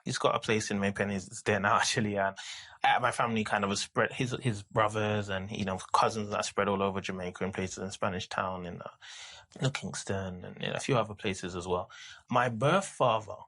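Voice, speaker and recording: A man in his thirties from the UK, a podcast guest talking casually and haltingly, with many restarts and fillers. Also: on the low side, fairly even intonation, a bit bright. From United Kingdom. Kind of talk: casual conversational interview.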